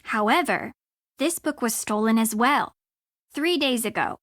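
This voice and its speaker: Frank young woman, fairly high voice. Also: lively intonation, a little slow, a bit bright, dry recording, studio quality. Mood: matter-of-fact. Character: frank, brisk young woman helping an investigation. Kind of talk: video game voice acting.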